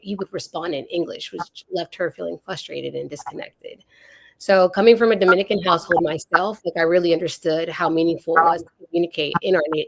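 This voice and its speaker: A woman's voice: on the low side, vocal fry, slightly rough, no echo. A woman whose first language is Spanish, telling a personal story in an earnest, reflective way on a panel chat. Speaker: behavior technician sharing career stories.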